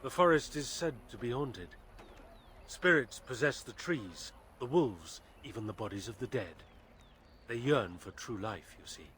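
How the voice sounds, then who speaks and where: An actor playing a grave elven storyteller in a video game, speaking in measured, formal phrases with solemn weight. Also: male voice, mid-range voice, animated, a bit bright, slight background noise. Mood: solemn, ominous. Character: grave elven storyteller warning of a cursed forest. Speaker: lore-telling game character. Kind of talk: dramatic video game dialogue.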